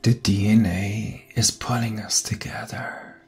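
A man playing a brooding, seductive vampire lover in an ASMR roleplay, often whispering, with intimate dramatic pauses. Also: fairly low pitch, little pitch movement, slightly rough. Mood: tender, seductive.

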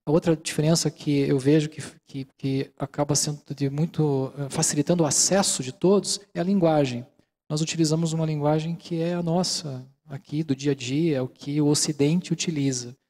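A middle-aged man with a Brazilian accent explains his subject calmly, his voice sitting slightly high. He speaks into a handheld microphone in a large auditorium and keeps checking in with his listeners. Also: normal speed. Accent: Brazilian Portuguese. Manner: calm, explanatory, many fillers like "né". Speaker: mindfulness lecturer. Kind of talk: relaxed lecture with audience questions.

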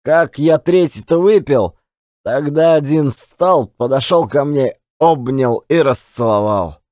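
A man's voice: mid-range voice, takes it slowly, a little mellow, no echo, clean studio sound, lightly acted. An actor playing a garrulous, self-deprecating, folksy old-time Russian storyteller in a one-man audio play. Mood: rueful, chatty. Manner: colloquial, rambling, folksy.

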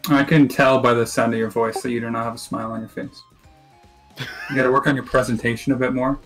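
A man's voice: medium pitch, flat delivery, fast, a bit bright, soft background music. A young man bantering casually over game voice chat, joking and playfully sarcastic. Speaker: video game player.

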